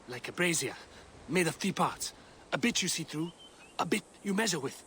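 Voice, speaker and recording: An actor playing a cowardly, rambling construction worker in a video game, nervous and stammering. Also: male voice, fairly high pitch, lively intonation, normal speed, bright, forceful tone, a little hiss or hum. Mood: anxious explaining. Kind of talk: comic video game cutscene dialogue.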